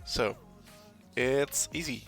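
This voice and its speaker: A man whose first language is German, explaining software step by step in English, searching for words and pausing as he goes. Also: mid-range voice, faint music behind. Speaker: tutorial maker. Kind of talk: screen-recorded software tutorial.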